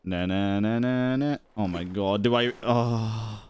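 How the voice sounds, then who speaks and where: A woman's voice: extremely low pitch, slow, a crowd in the background. A woman chatting casually on a gaming stream, with playful, sweary asides. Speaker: streamer reacting to a game.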